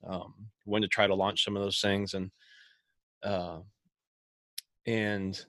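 A man's voice: lowish voice, level tone, slow pace, fairly bright tone, dry recording, clean studio sound. A man from Ohio who runs a small business, talking casually and rambling with lots of restarts and fillers. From Ohio, USA. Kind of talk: casual conversation.

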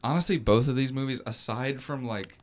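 A man's voice: medium pitch, fairly quick. A man in a casual film discussion, conversational and loosely thinking aloud as a co-host.

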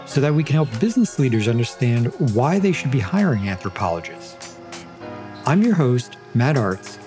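Host: man, mid-pitched, measured scripted delivery, faint music bed. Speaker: podcast host. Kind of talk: scripted intro, then interviewing.

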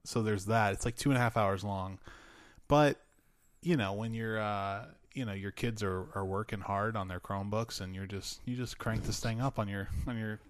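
Rambling low-voiced man chatting about films. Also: normal speed, dry recording, clean studio sound. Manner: rambling, lots of fillers and laughs, excitable. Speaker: podcast co-host. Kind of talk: casual film chat.

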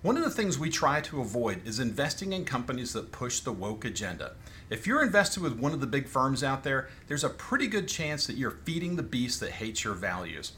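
A man reading an advertisement as an upbeat sales pitch. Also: big pitch swings, a little fast, sharp tone, a little hiss or hum. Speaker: ad spokesman. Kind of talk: read advertisement.

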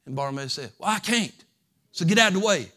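A man preaching a sermon as pastor, folksy and repetitive, building from soft talk to loud fervent preaching. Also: sits high, lively intonation, quick pace, slightly rough. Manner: folksy, repeats phrases.

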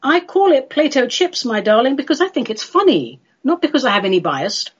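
Husky London woman, playful radio host. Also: sits high, very animated, a little fast, dull audio. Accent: London English. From London, England. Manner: playful, theatrical, droll. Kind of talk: chatty solo talk show monologue.

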